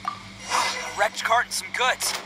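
A man's voice: high-pitched, slow pace, background noise. An American man voicing a brash, cocky young oni gang boss in a video game, boisterous and slangy, full of swagger. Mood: curious. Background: game music.

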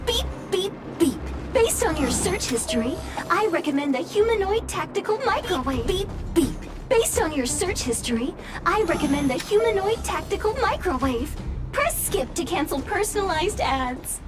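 Give me a very high-pitched chirpy little robot. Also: female voice, very animated, moderate pace, music in the background. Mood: chirpy, salesy. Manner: singsong, formal announcement phrasing, perky. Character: small chipper robot object finder, eager salesy helper. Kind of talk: scripted video game voice acting.

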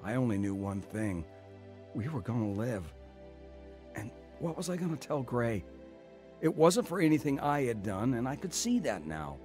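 An earnest, emotional man, played by an actor tells and lives his ordeal as a devoted brother in a radio drama. His mid-pitched voice halts with feeling, over faint music. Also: big pitch swings, moderate pace, a little mellow, lightly acted. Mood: reflective. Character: anguished, repentant brother narrating his blizzard ordeal. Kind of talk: radio drama acting and narration.

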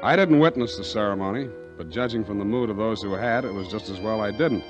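An American actor playing a tough, wisecracking private detective, wry and blunt, in a 1940s radio drama. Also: male voice, fairly low pitch, quick pace, muffled recording, natural voice. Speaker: lead actor and narrator. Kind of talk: 1940s hard-boiled detective radio acting and narration. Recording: old 1940s radio recording, music behind.

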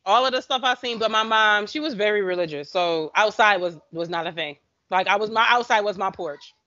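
A woman in her thirties with a bright, forward voice, chatting at length and teasing her co-host. She talks over a video call from home. The sound is dull and lo-fi. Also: medium pitch, a little fast. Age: 30s. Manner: talkative, teasing, animated. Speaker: podcast co-host. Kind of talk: casual chat. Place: home room. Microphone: webcam on video call.

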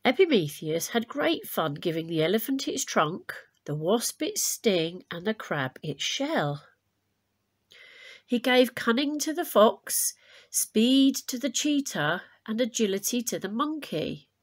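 Woman reading aloud with very animated pitch. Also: breathy edge. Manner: expressive, deliberate storytelling. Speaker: primary school teacher reading to pupils. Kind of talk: expressive storybook read-aloud with explanatory asides.

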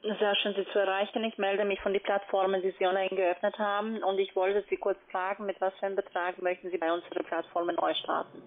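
A woman's voice: medium pitch, level tone, a little fast, hardly any pauses. A woman making scripted phone sales calls as a call centre agent. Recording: noisy, tinny phone line. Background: background noise on the line.

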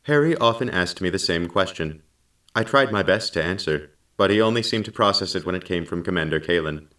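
A man acting as a calm, precise male artificial intelligence in a science fiction audio drama, speaking formally and with composure. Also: deep voice, brisk, far from the mic. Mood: somber.

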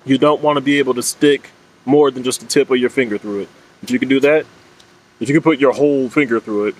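A man in his thirties talks outdoors with faint noise behind him. His voice sits at a middle pitch. He explains fishing gear in an informal, plain-spoken, instructive way, like a vlogger talking to camera. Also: poor audio. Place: outdoors under leafy trees. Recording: clear steady outdoor background noise.

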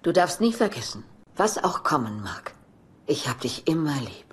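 A woman's voice: sits low, very animated, moderate pace, breathy edge, full character voice. An actress playing a self-centred older sitcom mother, saccharine, theatrical and condescending. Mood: cutting. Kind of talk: German-dubbed sitcom acting.

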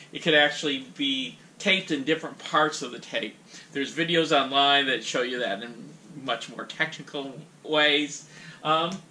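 A middle-aged man giving a casual, folksy how-to talk at home, speaking haltingly into a camera a few feet away. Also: on the high side, bright, forceful tone, slight background noise, poor audio. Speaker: host demonstrating audio gear. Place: room in a house.

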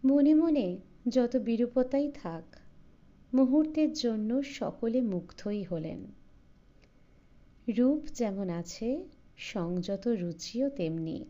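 A woman's voice: a little high, animated, slow, long pauses, warm tone, lo-fi sound. A woman narrator reading a literary short story aloud in a scripted audiobook style.

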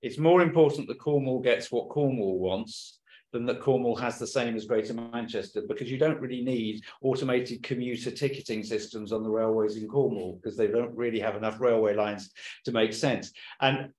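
A plain-spoken man making his case on a panel, in a voice of ordinary middle pitch. He argues through everyday examples. The sound rings around a roomy meeting hall. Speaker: panel speaker. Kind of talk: panel discussion remarks. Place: meeting room in Whitehall. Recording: echoey meeting room.

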